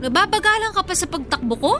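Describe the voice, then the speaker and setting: An actress playing a tough, frank female tricycle driver, guarded in love, with brisk plain-spoken banter in a radio drama. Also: very high-pitched, lively intonation. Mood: feisty banter. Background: music.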